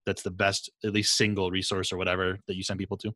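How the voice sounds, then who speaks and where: Male podcast host, slightly low voice, very dry recording, easygoing conversational interviewing. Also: flat delivery, fast talker, sharp tone, no room sound. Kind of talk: casual interview chat.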